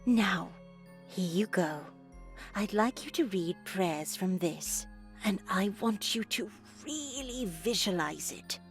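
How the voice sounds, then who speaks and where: A woman's voice: mid-range voice, lively intonation, slow pace, soft background music. A woman playing an older nun in a visual novel, grandly pious and unsettlingly zealous, with formal, theatrical preaching diction. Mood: instructive, pious. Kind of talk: dramatic video game acting.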